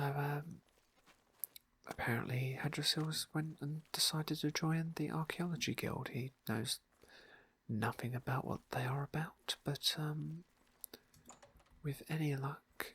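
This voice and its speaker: A man speaking in a soft, breathy hush, often near a whisper, narrating an ASMR roleplay game playthrough. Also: on the high side, fairly even intonation, unhurried. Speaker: ASMR gaming video host and roleplayer. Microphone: close microphone, dry.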